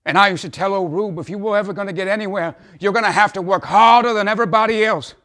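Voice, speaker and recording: A man acting a troubled, folksy father who pleads earnestly in a theatrical dramatic monologue, halting at times and impassioned at others. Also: medium pitch, lightly acted.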